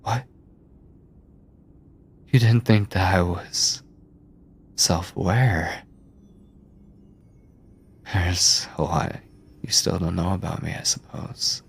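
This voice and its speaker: Man acting a slow, husky, obsessive mad scientist. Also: low-pitched, full character voice. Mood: tender, confessional. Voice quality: breathy, often dropping to a whisper. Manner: slow, deliberate, clinical yet doting. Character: obsessive yandere mad scientist, soft-spoken, controlling male captor. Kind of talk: ASMR horror roleplay, intimate acting.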